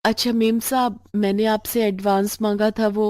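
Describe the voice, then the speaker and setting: A woman's voice: medium pitch, flat delivery, normal speed, darkish tone, no echo, natural voice. A woman acts out a poor working mother from a storybook tale. She speaks humbly and hesitantly, pleading with deference, in a dry, close sound. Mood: polite pleading. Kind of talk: moral storybook voice acting.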